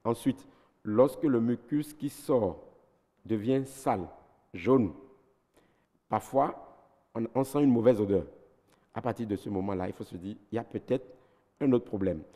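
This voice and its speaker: A man's voice: medium pitch, unhurried, pauses often, warm tone, in a large hall. A man with a Beninese French accent, a doctor giving health advice on a TV talk show, methodical and didactic, listing his points one by one. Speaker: ENT doctor guest.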